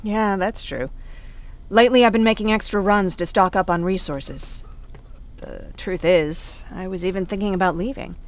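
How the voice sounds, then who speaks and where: Actress as female survivor, tired and protective, full of self-doubt, confiding, medium pitch, for a game. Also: moderate pace, natural voice. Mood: guarded. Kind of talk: video game voice acting.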